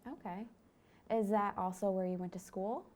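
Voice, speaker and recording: A woman's voice: medium pitch, moderate pace, a little mellow. A young woman from Michigan, asking friendly, upbeat questions in a casual interview chat. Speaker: student interviewer.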